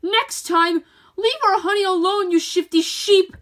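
A bossy storybook queen bee, played by a woman. She scolds loudly in a high, commanding voice, guarding her honey. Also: unhurried. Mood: vengeful. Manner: loud, commanding. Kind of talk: children's storybook character voice.

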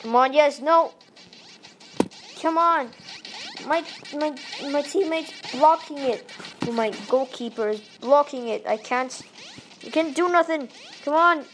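Excitable Malaysian woman yelling at a game. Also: high-pitched, a little slow, long pauses, faint music behind, rough recording. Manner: excitable, yelps and pleads at the game. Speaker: gaming channel host. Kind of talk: let's play commentary. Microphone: tablet microphone held to face.

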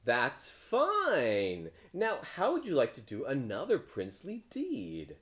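A hearty, demanding villager speaking for the whole town in a storybook, played by a man. The voice is loud and somewhat high, with bossy, lively emphasis. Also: slow pace, gravelly, exaggerated voice.

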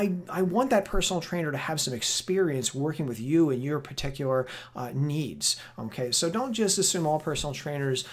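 A man giving an informal instructional lecture as a fitness educator, emphatic and conversational, repeating words for stress. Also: a little high. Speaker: personal trainer and fitness educator.

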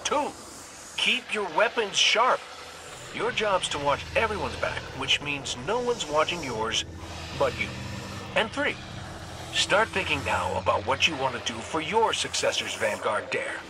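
An actor playing a cocky, wisecracking robot gunslinger in a video game, with an American accent and a wry, folksy, sardonic way of talking. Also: male voice, fairly high pitch, very animated, a little slow, noisy background. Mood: mock-instructive. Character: cocky wisecracking male robot gunslinger, roguish hunter leader. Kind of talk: video game voice acting, recorded farewell messages.

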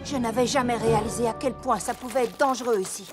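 A woman's voice: a little high, quick pace, lightly acted. A woman playing a doting, earnest and overprotective big sister pony in a cartoon, emphatic and fussing. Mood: firm. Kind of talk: animated cartoon dub acting. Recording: music behind the voice.

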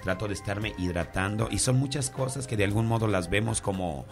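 Deep-voiced Mexican man giving fitness advice. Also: soft background music. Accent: Mexican Spanish. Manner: advisory, measured, uses fillers like "este". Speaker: gym trainer, co-host. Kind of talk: casual talk show chat.

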